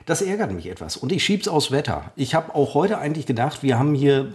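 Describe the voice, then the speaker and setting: A man with a mid-range voice talks in a loose, rambling way, often pausing on fillers. He pokes fun at himself as he chats on a casual podcast. His tone stays conversational and unhurried. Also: mid-range voice, sing-song, darkish tone. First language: German. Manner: rambling, self-deprecating, hesitant with many fillers. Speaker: podcast co-host. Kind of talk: casual chat.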